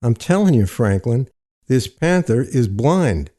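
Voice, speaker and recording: A man performs a hardened ex-convict in an audio story, defiant and brooding. The words come blunt and terse, in a dark, mellow voice of middle male pitch. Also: big pitch swings, measured pace. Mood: insistent.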